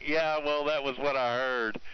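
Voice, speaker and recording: A man's voice: medium pitch, steady tone, a little slow. American man through very heavy CB radio static. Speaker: CB radio operator checking in. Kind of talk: casual radio chat. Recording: muffled CB radio with very loud static.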